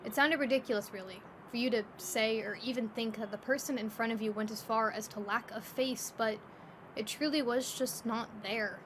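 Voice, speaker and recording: A woman with a fairly high voice narrates a scripted story. She tells it expressively and descriptively, with faint ambient noise behind her. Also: steady tone, normal speed.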